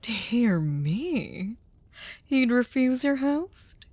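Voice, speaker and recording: A woman voicing a sadistic, possessive vampire hostess in an ASMR roleplay, silky, courtly and teasing, often slipping into breathy whispers. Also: fairly high pitch, sing-song, very slow, darkish tone, no echo, exaggerated voice. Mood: playful, coaxing. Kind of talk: scripted ASMR roleplay, intimate dramatic monologue.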